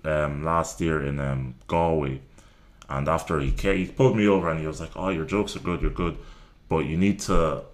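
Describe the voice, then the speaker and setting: A man's voice: a bass voice, fairly even intonation, moderate pace. A man in his twenties, a stand-up comedian from Nigeria and Ireland, chatting casually in an interview with many ums and restarts. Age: 20s.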